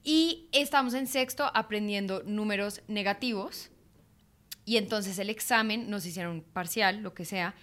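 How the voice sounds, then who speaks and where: A woman in her late twenties co-hosts a relaxed podcast, her voice sitting in an ordinary middle register. She tells stories in a chatty, animated way, leaning on fillers as she goes. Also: mid-range voice, moderate pace. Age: 20s. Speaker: podcast co-host. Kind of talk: casual chat, storytelling.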